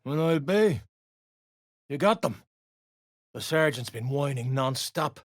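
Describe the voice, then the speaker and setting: An actor playing a gruff, coarse, bullying soldier of an underground dwarf race in a video game. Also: male voice, medium pitch, animated, slow pace, no room sound, clean studio sound. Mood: gruff, sardonic. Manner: coarse, barking, colloquial. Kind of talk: video game character voice lines.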